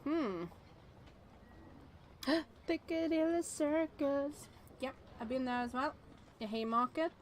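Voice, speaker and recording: A woman streaming a game with casual, expressive commentary, thinking aloud and reading on-screen text as she goes. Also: high voice, slow, pauses often, slight background noise.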